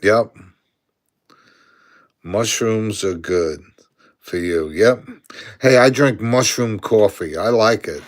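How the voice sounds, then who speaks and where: Man in his sixties, Brooklyn accent, low voice, unhurried, casual live-stream chat, answers viewer comments and chuckles. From Brooklyn, New York.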